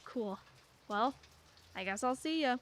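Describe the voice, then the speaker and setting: A woman's voice: on the high side, animated, unhurried, slight background noise. A woman playing a breezy, chirpy mom. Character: breezy single mother, a lawyer. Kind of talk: radio play acting.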